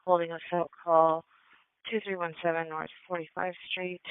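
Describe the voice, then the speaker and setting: A woman's voice: lowish voice, level tone, moderate pace, clear, resonant tone, no room sound. A woman working as a police and fire dispatcher over two-way radio, calling out incidents and numbers in a clipped, procedural way. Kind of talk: scripted-sounding radio dispatch calls. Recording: tinny narrow-band radio scanner feed.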